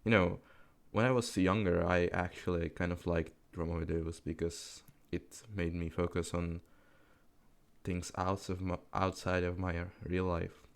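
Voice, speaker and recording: Low gravelly young man. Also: flat delivery, a little slow. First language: Czech. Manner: rambling, self-deprecating, swears casually, laughs often. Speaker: gaming commentary YouTuber. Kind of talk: unscripted rambling commentary over gameplay.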